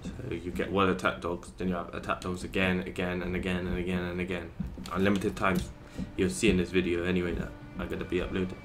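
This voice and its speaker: A man's voice: deep voice, monotone, quick pace, hardly any pauses. A young man, rambling casually in swear-laced commentary over his gameplay video. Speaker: gaming YouTuber.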